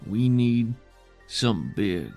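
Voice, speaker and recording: Tabletop RPG role: gruff, folksy settlement man, acted by a male game master, gravelly, weary and stubbornly skeptical. Music underneath. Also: lowish voice, big pitch swings, slow, mellow, full character voice. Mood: grimly defiant. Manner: folksy drawl-like grammar, plainspoken and skeptical.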